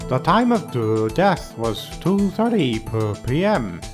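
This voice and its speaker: A man acts out a bumbling game detective. He stammers nervously and halts often, with a slow pace and jumpy pitch, over game music. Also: very animated. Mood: nervous, flustered. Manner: halting, stuttering delivery. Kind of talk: video game fan dub.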